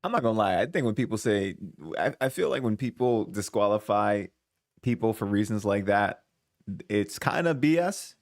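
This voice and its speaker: A man's voice: lowish voice, lively intonation, moderate pace. A man hosting a reaction video, casually thinking aloud, hedging and restarting his sentences. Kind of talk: casual commentary.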